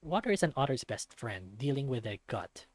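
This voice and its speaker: A man's voice: mid-range voice, very animated, normal speed, dry recording. A man voicing a self-deprecating young male otter, narrating a visual novel in the first person with wry self-mockery. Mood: wry, subdued.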